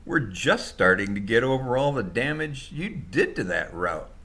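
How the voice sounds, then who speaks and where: A man voicing an irate, disbelieving male boss in a story, exclaiming close to a yell. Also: medium pitch, very animated, normal speed, slightly rough, voice pushed up, heavily acted. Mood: indignant. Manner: exclaiming, near yelling.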